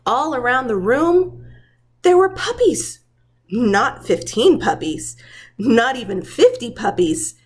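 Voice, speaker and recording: A woman who works as a preschool teacher, reading a children's story aloud in a dramatic, deliberate storytelling manner. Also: on the high side, very animated, breathy edge.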